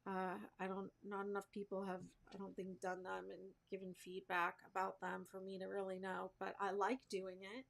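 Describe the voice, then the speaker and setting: A woman's voice: mid-range voice, completely flat delivery, moderate pace, creaky, few pauses. A woman chatting casually and informally, thinking aloud in a self-deprecating way as she hosts a crafting livestream. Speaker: crochet livestream host. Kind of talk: casual rambling chat with viewers while crafting.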